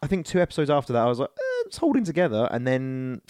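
A man's voice: mid-range voice, wildly swooping pitch, quick pace, darkish tone, dry recording, clean studio sound. A man co-hosting a podcast, chatting casually about films in a sweary, joking, informal way. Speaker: podcast co-host.

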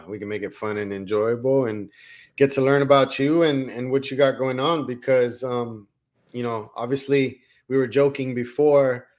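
A man from Colombia co-hosting a podcast, talking in a rambling, friendly way and often repeating words. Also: medium pitch. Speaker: podcast co-host. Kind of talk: casual interview chat.

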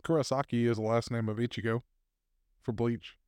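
A man's voice: medium pitch, steady tone, a little fast, darkish tone, smooth, dry recording, studio quality. Man reading stories aloud with laughs. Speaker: podcast co-host reading posts aloud. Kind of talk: casual podcast chat.

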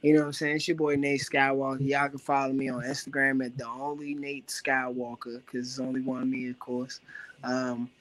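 A young man from the Washington, D.C. area with a raspy voice, a rapper talking casually as an interview guest and filling his speech with "you know what I'm saying.". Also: mid-range voice, completely flat delivery, fairly quick, fairly bright tone, poor audio.

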